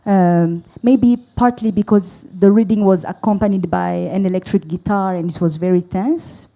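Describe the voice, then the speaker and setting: A woman playwright from Burundi, answering a festival question slowly, searching for words with many pauses. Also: on the low side, steady tone, gravelly, mellow. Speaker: playwright on a panel. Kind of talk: hesitant, thoughtful panel answer. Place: live festival Q&A.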